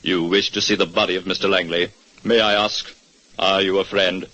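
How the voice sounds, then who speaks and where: An actor playing a grasping funeral director with formal, oily manners, in an old radio detective drama. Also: male voice, deep voice, flat delivery, normal speed, pushed and bright. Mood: chilly, solemn. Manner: formal, oily, solemn salesman. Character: Thaddeus Morton, unctuous, grasping funeral director. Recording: muffled vintage radio recording.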